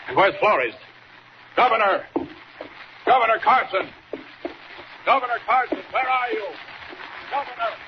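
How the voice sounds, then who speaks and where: An actor playing a brave, determined superhero in a 1940s radio serial. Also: male voice, high-pitched, animated, unhurried, long pauses. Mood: urgent, alarmed. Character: brave forceful male superhero, urgent and determined. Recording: muffled, very noisy old radio recording.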